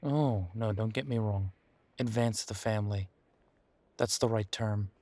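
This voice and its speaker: A man acts out a weary werewolf boyfriend in an intimate audio drama. His voice sits fairly low and moves very slowly. He speaks in a casual, wry way, hesitating and trailing off between thoughts. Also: dark tone, no room sound. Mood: weary, bitter. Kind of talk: intimate roleplay audio drama, conversational monologue.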